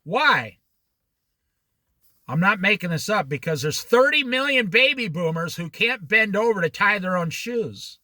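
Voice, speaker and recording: A man teaching a casual Bible study in a very bright, high voice that swings widely in pitch. He talks slowly and challenges his listeners with pointed questions, with a dry close sound. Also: no room sound. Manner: animated, challenging rhetorical questions; jokey asides. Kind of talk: informal Bible study talk.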